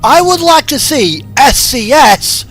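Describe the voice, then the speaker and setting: A man's voice: very high-pitched, sing-song, slow, a bit bright. A British man chatting casually and musing off the cuff while he plays a driving game. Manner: rambling, musing, unscripted. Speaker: streamer playing a truck driving simulator. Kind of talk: casual chat while gaming. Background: radio music and truck game engine sound.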